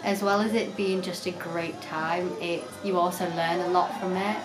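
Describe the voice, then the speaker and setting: A woman's voice: on the low side, fairly even intonation, moderate pace. A fourteen-year-old girl with an English accent giving a casual recap as an exchange participant. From York, England. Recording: echoey room with music behind.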